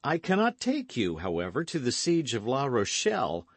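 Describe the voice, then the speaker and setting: A man voicing an eager, brash young gentleman in an audiobook, speaking with emphatic, commanding and affectionate delivery. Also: mid-range voice, huge pitch swings, moderate pace, no room sound, pitched above the performer's own voice. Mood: urgent, affectionate. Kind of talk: audiobook character acting.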